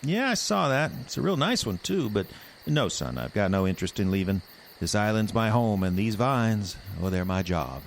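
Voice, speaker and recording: Folksy, drawling old island hermit. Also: male voice, fairly low pitch, big pitch swings, normal speed, gravelly, a little hiss or hum. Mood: folksy, wry. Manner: drawling, folksy backwoods talk. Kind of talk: audio drama acting.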